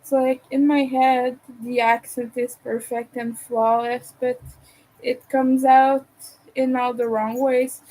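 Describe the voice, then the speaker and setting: High-voiced woman, non-native english, slow and halting, chatting while crocheting. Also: flat delivery, darkish tone, rough recording. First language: not English. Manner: hesitant, halting, restarts words. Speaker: crochet vlogger. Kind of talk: casual chat while crocheting.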